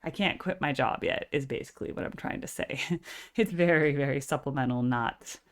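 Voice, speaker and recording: Low-voiced chatty woman, operatic timbre. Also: brisk. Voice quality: full, operatically trained voice. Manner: chatty, constant filler "like". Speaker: music reaction channel host. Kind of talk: casual reaction chat.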